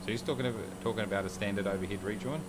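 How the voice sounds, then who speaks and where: A low-voiced man talks loosely and jokes through a livestream, reading viewers' comments out as he goes. A steady hum runs under his home mic. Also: rapid-fire. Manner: chatty and joking, reads viewer comments aloud. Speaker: streamer. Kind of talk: casual livestream chat while flying a sim.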